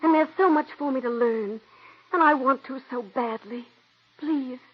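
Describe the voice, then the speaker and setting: An actress in 1940s radio acting, playing an earnest young stage-struck secretary with a pleading manner, on a dull old radio broadcast. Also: female voice, high voice, measured pace, husky. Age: young adult.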